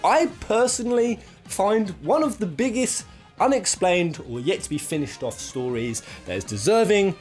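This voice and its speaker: A man's voice: high-pitched, animated, moderate pace, a little mellow, soft background music. A man hosting a countdown video, giving enthusiastic opinion commentary in an informal, rambling and emphatic way. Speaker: host of a gaming countdown video.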